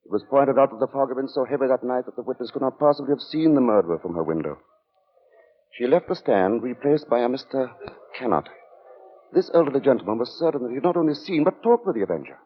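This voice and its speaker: A man's voice: medium pitch, expressive, fast talker, close to an everyday voice. A man narrating a suspenseful 1940s radio thriller in a grave, dramatic manner. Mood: measured, tense. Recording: dull, noisy vintage radio recording.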